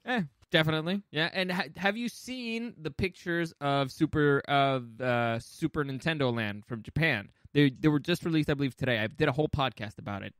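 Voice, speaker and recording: A man hosting a podcast in a casual, comedic style, excitable, jokey and free with swearing. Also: on the high side, fairly quick, hardly any pauses, no room sound, clean studio sound. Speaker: podcast host.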